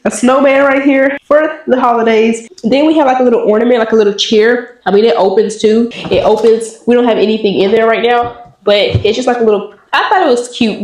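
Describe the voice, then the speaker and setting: A woman's voice: on the high side, darkish tone. A young woman in her twenties with a congested voice, chatting casually to viewers while giving an apartment tour. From Dallas, Texas. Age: 20s. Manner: chatty, addresses viewers as y'all. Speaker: vlogger. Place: apartment indoors. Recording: echoey apartment rooms.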